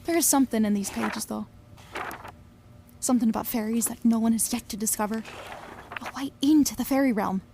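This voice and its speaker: A young woman, played by an actress, tells her story in an audio drama. Her voice sits fairly high, and she is wry and confiding, swearing freely while sounding wistful. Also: brisk, pauses often, mellow, a little hiss or hum, close to an everyday voice. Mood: wry, longing. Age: young adult. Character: Billie, brash, wistful young Scottish woman obsessed with fairies. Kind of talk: audio drama narration and dialogue.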